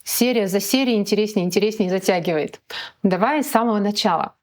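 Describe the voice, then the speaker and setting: A woman's voice: medium pitch, fast. A woman hosting an interview, praising her guest and steering with questions. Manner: warm, complimentary, guiding questions. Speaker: host.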